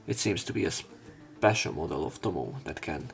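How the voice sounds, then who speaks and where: A man with a low, creaky voice reads game text aloud as let's play narration. His delivery is dramatic, giving the dialogue acted urgency. Game music runs behind his voice. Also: expressive, normal speed.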